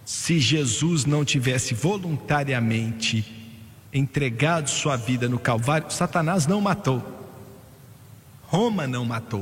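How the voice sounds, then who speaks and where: A man preaching a sermon in animated fashion, his voice rising to high exclamations. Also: big-room sound.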